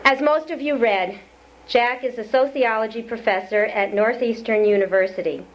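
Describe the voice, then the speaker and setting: A woman's voice: a little high, normal speed. A woman acting as event host, introducing a guest speaker with personal asides before reading his credentials. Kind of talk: formal introduction. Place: community center hall. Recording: lo-fi 1992 lecture tape.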